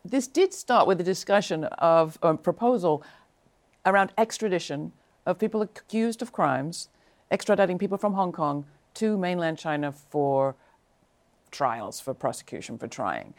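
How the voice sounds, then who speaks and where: A woman with a fairly low voice runs an interview. She introduces her guests and follows up in a conversational, engaged way, often opening with "I mean." Her questions sound curious and unforced. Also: expressive, normal speed. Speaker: host. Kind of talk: interview hosting.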